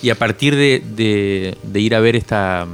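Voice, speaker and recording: A man in his forties from Cuba, speaking reflectively as an interviewed author, often halting as he searches for words. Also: fairly low pitch, faint music behind.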